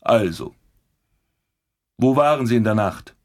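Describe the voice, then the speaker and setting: Sarcastic, animated police inspector. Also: male voice, fairly low pitch, unhurried. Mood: pressing, sarcastic. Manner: pressing, sarcastic. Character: impatient, sceptical male police inspector. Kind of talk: German radio drama acting.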